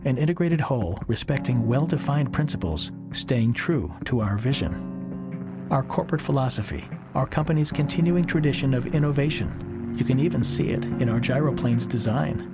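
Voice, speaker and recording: A man reading a scripted promotional voice-over in a measured, deliberate way, pausing slowly between phrases. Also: medium pitch, animated. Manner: measured, deliberate delivery with slow pauses. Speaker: corporate video narrator. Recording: voice-over under loud music.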